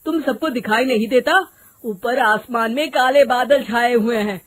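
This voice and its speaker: A woman's voice: a little high, measured pace. A woman voicing a bossy male village landowner in a cartoon story, scolding and giving orders. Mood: impatient.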